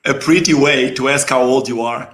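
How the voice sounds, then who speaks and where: This is a man in his forties whose first language is Portuguese, and it shows in his accent as he hosts a relaxed interview. His voice sits at a middle pitch, and he comes across as animated and quick to laugh. He speaks through a headset on a video call. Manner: animated, laughing, gestures a lot. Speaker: host. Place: dim home room.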